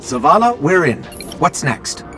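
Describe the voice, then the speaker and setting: A man's voice: high voice, big pitch swings, unhurried. A man voicing a small robot scout in a video game, inquisitive and wry, explaining things expressively. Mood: alert, curious. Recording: game audio with music behind.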